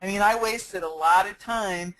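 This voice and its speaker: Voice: mid-range voice, normal speed, fairly bright tone, poor audio. Rambling online business coach. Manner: rambling, frequent restarts and fillers. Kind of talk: casual live Q&A talk.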